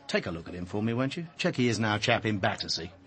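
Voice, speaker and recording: A chummy police officer in a radio play. He is informal and breezy, with very animated intonation and a slightly low pitch. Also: quick pace. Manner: informal, chuckling, matey. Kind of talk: British radio drama dialogue.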